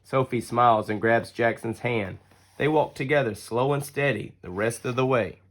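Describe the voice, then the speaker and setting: A man reading a children's picture book aloud as an expressive, deliberate storyteller. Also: medium pitch. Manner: deliberate storytelling with acted-out dialogue. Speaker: story reader.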